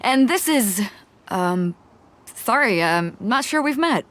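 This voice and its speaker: A woman's voice: on the high side, lively intonation, slow pace, a little hiss or hum. An actress playing a confident, easygoing woman sea captain with a friendly, laughing manner. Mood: hearty. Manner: breezy, friendly, laughs easily. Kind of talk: game voice acting.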